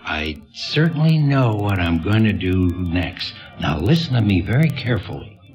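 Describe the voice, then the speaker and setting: Low, self-assured veteran showman. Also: big pitch swings, moderate pace, highs cut off, music in the background. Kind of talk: old-time radio drama acting.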